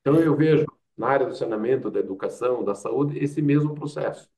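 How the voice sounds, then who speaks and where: A man's voice: medium pitch, fast talker, mellow, distant mic. A man with a Brazilian accent, an interview guest telling anecdotes and explaining his points with rhetorical questions and quoted asides. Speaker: interview guest, doctor and public health expert. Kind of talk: conversational interview, anecdotal storytelling.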